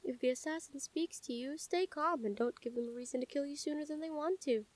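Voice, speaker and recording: Woman playing a high-voiced lovestruck assassin, intimate and deliberate. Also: natural voice. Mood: calm, menacing. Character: lovestruck female noble-house assassin, calm, menacing yet smitten. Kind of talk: intimate scripted audio roleplay addressed to the listener.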